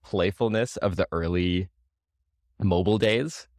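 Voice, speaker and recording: Low-pitched man in casual podcast chat. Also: measured pace, darkish tone, no echo, studio quality.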